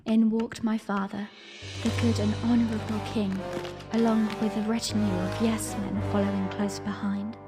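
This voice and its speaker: A woman playing a young princess who narrates her own story in a formal, reflective audio drama style, earnest and weary with a quiet defiance. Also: medium pitch, level tone, normal speed, close to an everyday voice. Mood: reflective, wistful. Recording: long reverb, music underneath. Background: music.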